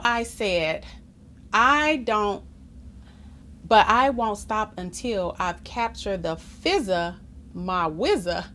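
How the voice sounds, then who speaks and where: A woman reads a children's rhyming book aloud with theatrical flair. Her voice sits fairly high and swings widely in pitch, and she takes her time over the lines. She chats to young listeners as she goes. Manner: expressive, theatrical, chatty asides to young listeners. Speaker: storybook reader.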